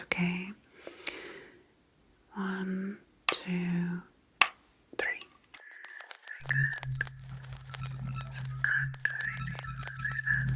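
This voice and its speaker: A woman in an ASMR roleplay, speaking in a breathy whisper as a serene, faintly sinister sleep clinic doctor, with soothing, deliberate reassurance. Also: low voice, background noise.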